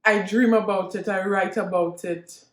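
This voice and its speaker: A woman's voice: mid-range voice, fairly even intonation, normal speed. A Trinidadian woman vlogging to her viewers in an earnest, reflective monologue, often restarting and repeating herself as she gathers her thoughts. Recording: echoey room.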